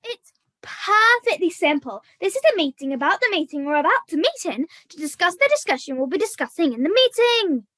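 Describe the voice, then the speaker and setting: A young woman plays a bossy, exasperated puppet in a comedy show. The voice is extremely high and sharp, with slow, deliberate scolding in a dry, close sound. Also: sing-song, no echo, heavily acted. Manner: stern, scolding. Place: video call.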